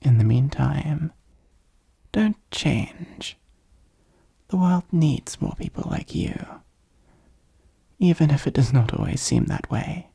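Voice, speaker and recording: A possessive demon lord acted out by a performer murmurs in a very breathy, husky half-whisper. The voice is slow and mellow, with formal, intimate phrasing suited to an ASMR roleplay. It sounds commanding yet softly devoted. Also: medium pitch, expressive, heavily acted. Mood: measured, fond.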